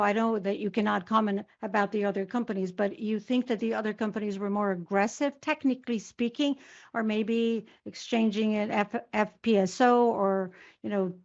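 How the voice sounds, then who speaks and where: Woman doing live interpreting on an earnings call, kinda halting, muffled lo-fi line. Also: medium pitch. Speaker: conference interpreter. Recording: dull, lo-fi conference call audio.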